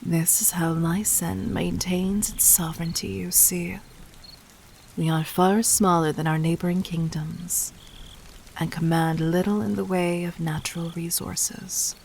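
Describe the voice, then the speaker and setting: A woman playing a regal, cunning, gently dominant demon queen in a roleplay audio drama, speaking formally and intimately with measured, breathy poise. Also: lowish voice, level tone, slight background noise. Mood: poised, knowing. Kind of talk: scripted roleplay audio drama addressed to the listener.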